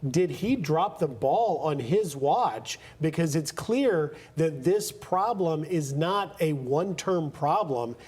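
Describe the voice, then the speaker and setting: A man's voice: a little high, animated, slow pace, hardly any pauses, a little mellow, slightly rough. A man in his fifties with an American accent, anchoring live television news and interviews. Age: 50s.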